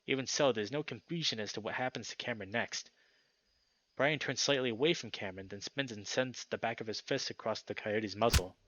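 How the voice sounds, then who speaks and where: A man's voice: medium pitch, a little fast, fairly bright tone, lo-fi sound. A young man reading a visual novel aloud as a dramatic story narration for a let's play. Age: young adult.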